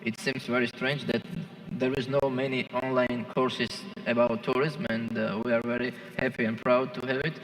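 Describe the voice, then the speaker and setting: A man who is not a native English speaker, giving halting, impromptu remarks as a conference panelist. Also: mid-range voice, level tone, fairly bright tone. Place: large conference hall. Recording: echoing hall with long reverb.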